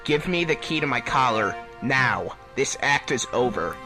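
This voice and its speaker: A man's voice: mid-range voice, expressive, a little slow, loud music behind the voice, pitched below the performer's own voice, natural voice. A man voicing a defiant young hedgehog hero, angry but restrained, in a fan fiction reading. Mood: restrained anger.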